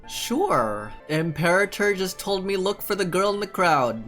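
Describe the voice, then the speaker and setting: A man's voice: high voice, big pitch swings, a little slow, fairly bright tone, faint music behind. A man giving casual playthrough commentary as a gaming streamer, reacting aloud and musing in questions.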